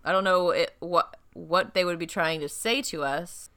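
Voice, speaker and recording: A woman's voice: lowish voice, steady tone, normal speed, fairly bright tone. A woman co-hosting a podcast in casual chat, playful and joking, laughing often, sounding a little tired. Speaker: podcast co-host.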